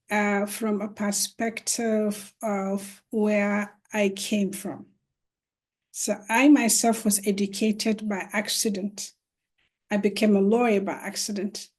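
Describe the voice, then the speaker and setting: A middle-aged woman from northern Nigeria speaks on a video call panel. She talks very slowly and earnestly, and she restarts her phrases with many fillers. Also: mid-range voice, darkish tone. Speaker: panelist, law professor and educator. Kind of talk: virtual panel talk.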